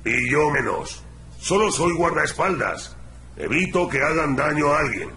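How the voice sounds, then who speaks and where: An actor playing a big, tough, defensive gorilla bodyguard in a video game. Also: male voice, on the high side, very animated, a little slow, pushed and bright, roomy sound, slight background noise, full character voice.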